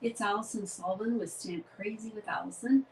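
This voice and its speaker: A woman's voice: mid-range voice, a little fast, darkish tone, slight background noise, low-quality recording. A middle-aged woman from Canada with a head cold, hosting a casual live craft demonstration and greeting viewers by name.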